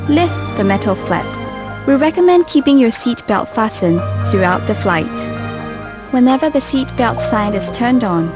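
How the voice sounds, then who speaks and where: A woman giving a scripted safety briefing in a clear, calm instructional way, recorded as a studio voice-over. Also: fairly high pitch. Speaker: safety video narrator. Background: music bed.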